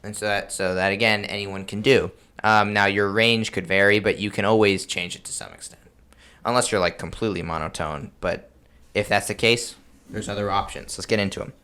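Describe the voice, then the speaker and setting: Low-voiced man explaining casually. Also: fairly even intonation, fairly quick, pushed and bright, clean tone. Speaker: podcast host.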